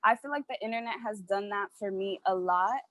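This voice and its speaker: A woman's voice: mid-range voice, brisk, fairly bright tone, dry recording. A woman from New York talks in a frank, emphatic way, dropping casual swears. Her voice sits mid-range and sounds dry and close over a video call. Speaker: panelist artist. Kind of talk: casual panel chat.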